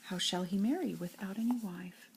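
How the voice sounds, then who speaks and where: An older woman with a breathy, husky voice reads nursery rhymes like a grandmother, in an expressive singsong storytelling way. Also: mid-range voice, moderate pace, noisy background. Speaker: grandmother reading to children.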